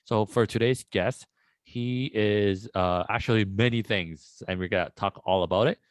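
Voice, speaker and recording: A man hosting a casual podcast interview, rambling and relaxed with many fillers. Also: on the low side, normal speed, smooth, dry recording. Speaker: podcast host.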